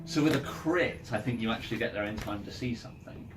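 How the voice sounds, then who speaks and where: Low-pitched game master, halting, over music. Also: male voice, poor audio. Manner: halting, thinking aloud. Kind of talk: casual improvised tabletop game narration. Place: in-person game at a table. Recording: music playing behind. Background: ambient music.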